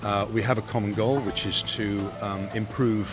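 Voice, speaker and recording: A man, an antique dealer and association co-chair, speaking in a measured, articulate way. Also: fairly low pitch, steady tone, music in the background. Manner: measured, articulate, many fillers. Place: outdoor street.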